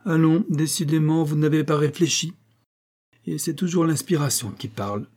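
Male reader as cruel estranged husband, mocking, sneering, audiobook drama, mellow, slightly husky. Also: on the high side, normal speed, voice pushed up. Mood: menacing. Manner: sneering, sarcastic, coldly domineering.

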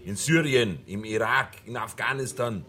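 An actor playing an indignant, opinionated Bavarian pub regular in a radio crime play, ranting bluntly in rustic dialect. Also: male voice, mid-range voice, moderate pace, bright, forceful tone, close to an everyday voice.

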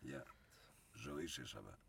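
An older man from Greece, a taxi passenger talking casually in halting, broken-up phrases inside a car. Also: very deep voice, lively intonation, creaky, background noise. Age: older, around retirement age. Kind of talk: casual conversation.

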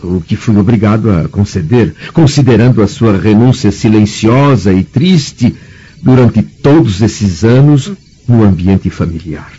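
Husky, troubled Brazilian-voiced Roman nobleman. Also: lowish voice, animated, a little slow, dark tone. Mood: troubled, reflective. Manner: formal, theatrical, anguished. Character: troubled proud Roman nobleman, middle-aged, estranged husband. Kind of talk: radio drama acting. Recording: dull, muffled radio drama recording.

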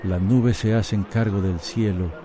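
A man acting as the calm, poetic storyteller of a radio soap. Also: fairly low pitch, a little slow, crowd noise, lightly acted. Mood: reflective. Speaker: narrator. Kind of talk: scripted radio drama narration.

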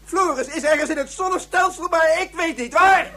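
A man's voice: very high voice, moderate pace, sharp tone, soft background music. A man playing a precise, pedantic male scientist in a science fiction radio drama. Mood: distraught. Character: male scientist Yukio, pedantic lecturer turning distraught father. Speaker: actor in a radio play. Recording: noisy radio play recording with hum.

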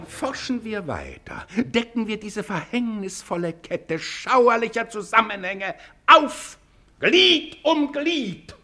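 Give this to an indignant courtroom orator from a fifties radio drama. The voice sits high and swings widely, with a gravelly creak at the edges. Every phrase is pompous and accusing, drawn out for effect. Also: male voice, sharp tone, exaggerated voice. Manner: rhetorical, declamatory, with drawn-out emphasis. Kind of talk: 1950s German radio play acting, courtroom oratory.

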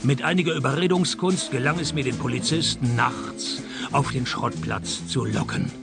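An actor playing a laconic, world-weary private detective who narrates a hardboiled parody radio play in deadpan. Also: male voice, mid-range voice, normal speed, creaky, loud music behind the voice. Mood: weary, wry narrating.

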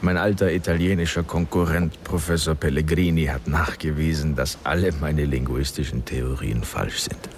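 A man playing a weary, broken, self-mocking older father in a radio drama, speaking haltingly and with resignation. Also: extremely low pitch, steady tone, slight background noise. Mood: calm, wry.

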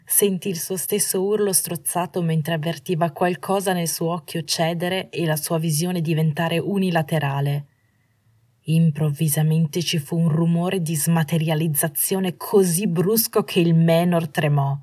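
A woman's voice: fairly low pitch, fairly even intonation, unhurried, mellow, dry recording, studio quality. A woman reading a scripted story as an audiobook narrator.

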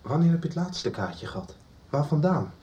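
A man's voice: a little high, sing-song, moderate pace, mellow. An actor playing a man searching for a missing person, pressing with questions. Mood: urgent. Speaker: character in a radio soap. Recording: roomy, from a distance.

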